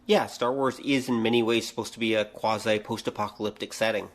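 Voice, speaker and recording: A man's voice: fairly low pitch, steady tone, brisk. A man co-hosting a podcast in casual, conversational banter. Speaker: podcast co-host.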